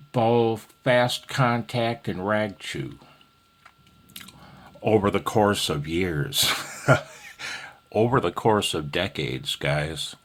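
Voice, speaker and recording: A man's voice: fairly low pitch, fairly bright tone, husky. A man giving a casual, rambling amateur radio tutorial in a folksy, self-deprecating, slightly nervous way.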